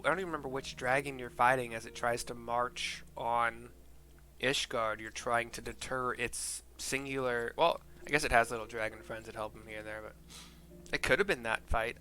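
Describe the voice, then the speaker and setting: A man's voice: mid-range voice, sharp tone. A man streaming a video game, narrating scenes and commenting casually with rambling, informal, sweary asides. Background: game music.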